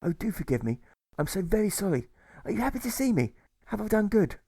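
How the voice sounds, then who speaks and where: A man acts out a storybook woman, tearful and apologetic. Her voice sits high and pleads with open emotion, in a dry, close sound. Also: very animated, a little fast, dark tone, no echo, full character voice. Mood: joyous, pleading.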